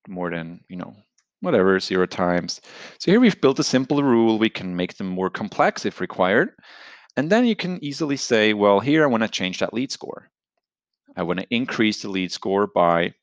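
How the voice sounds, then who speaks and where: A man giving an explanatory software tutorial, talking through it step by step in a conversational, unscripted way. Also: lowish voice, no room sound. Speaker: software product presenter. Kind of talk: screen-share product tutorial, explanatory.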